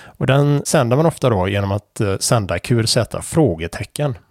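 A man, explaining amateur radio Morse code procedure in an unhurried way, with many fillers. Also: medium pitch, lively intonation, darkish tone, no room sound, studio quality. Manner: unhurried, many fillers, spells out letters and call signs.

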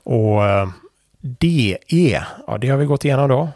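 A man calmly explaining Morse code conventions in a casual tutorial, pausing often with fillers and restarts. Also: mid-range voice, no room sound, clean studio sound. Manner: relaxed, hesitant with frequent fillers and restarts. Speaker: amateur radio instructor.

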